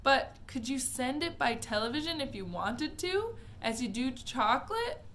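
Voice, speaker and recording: A woman voicing a brash, TV-obsessed young boy who shouts boastfully. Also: high voice, big pitch swings, moderate pace, slight background noise, exaggerated voice. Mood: eager.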